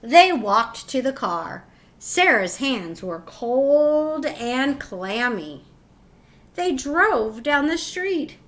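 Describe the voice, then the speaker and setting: A woman teacher reading a picture book to young children, expressive and instructive, pausing to ask questions. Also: sits high. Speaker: teacher reading aloud to pupils.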